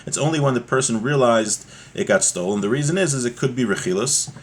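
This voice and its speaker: A man's voice: medium pitch, animated, a little fast, slightly rough. A man giving a religious text lesson, reading aloud and explaining step by step with frequent rhetorical check-in questions. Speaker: religious teacher.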